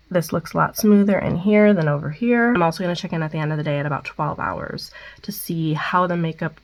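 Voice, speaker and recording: Young woman, Midwestern accent, stuffed up, casual beauty vlog, lots of ums, slightly low voice. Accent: Midwestern American. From Midwestern United States. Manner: chatty, many ums, reads product details. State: stuffed up nose. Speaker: beauty vlogger. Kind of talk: casual makeup wear-test vlog.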